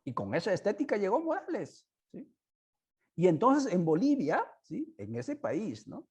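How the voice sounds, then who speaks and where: A man hosts an online discussion over a video call headset, his voice mellow and a little higher than most men's. He talks in halting bursts and keeps checking in with his listeners through tag questions. Spanish is his second language. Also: wildly swooping pitch, breathy edge. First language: not Spanish. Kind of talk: informal spoken commentary to an online audience.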